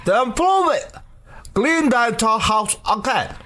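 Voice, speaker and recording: A harsh old boss in a radio drama. His voice is high and swings widely in pitch. He is imperious, demanding and contemptuous as he scolds. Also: lively intonation, slow, bright, forceful tone, full character voice. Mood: stern, threatening.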